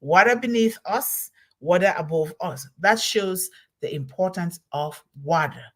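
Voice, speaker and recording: A woman's voice: lowish voice, a little slow, fairly bright tone. A middle-aged woman from Cameroon, hosting a solo motivational talk, emphatic and repeating her key words. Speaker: host of a motivational talk series.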